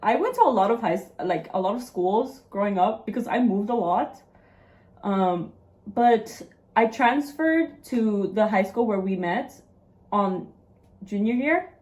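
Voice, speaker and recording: A woman's voice: medium pitch, animated, measured pace, darkish tone. Playful young woman co-host. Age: young adult. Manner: joking, playful. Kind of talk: casual chat. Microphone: video call.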